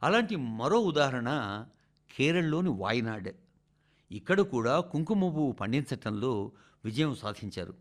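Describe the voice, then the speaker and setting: Expressive male radio reader, very lively pitch. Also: measured pace. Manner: expressive, rhetorical, addressing listeners directly. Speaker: radio reader of a translated public address. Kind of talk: scripted read-aloud radio address.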